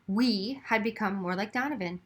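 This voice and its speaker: A woman reading a children's novel aloud as narrator, with expressive storytelling delivery. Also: medium pitch, moderate pace. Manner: narrates expressively, acting out dialogue. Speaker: audiobook reader.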